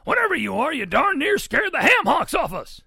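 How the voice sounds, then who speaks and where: A storybook reader voicing a huge, blustery, jovial papa pig with a loud, folksy, exclamatory manner. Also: high voice, wildly swooping pitch, moderate pace, creaky, breathy edge, no echo, clean studio sound, pitched above the performer's own voice, exaggerated voice. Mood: blustery, excited.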